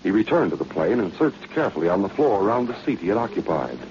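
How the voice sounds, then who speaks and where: A male narrator of a 1940s radio drama, telling the story dramatically. His deep voice swings expressively, on a dull vintage recording with faint noise. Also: big pitch swings, fast, darkish tone.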